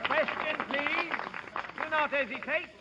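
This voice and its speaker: A showmanlike memory act, very high and slow, declaiming in stilted, courteous phrases. The old radio sound is echoing, muffled and very noisy. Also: male voice, crowd noise. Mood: formal, proud. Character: stage memory man performing, precise, courteous, showmanlike. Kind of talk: 1930s radio drama acting.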